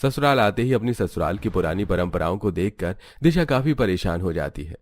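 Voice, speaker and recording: A male storyteller with a low voice narrates a domestic cartoon tale. His delivery is scripted narration, set out as a story for viewers. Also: expressive, fairly quick, dry recording.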